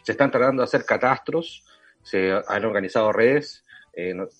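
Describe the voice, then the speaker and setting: A man's voice: fairly low pitch, normal speed, a bit bright, faint music behind. A man hosting a podcast, asking long, rambling, enthusiastic questions. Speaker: podcast host. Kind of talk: casual interview.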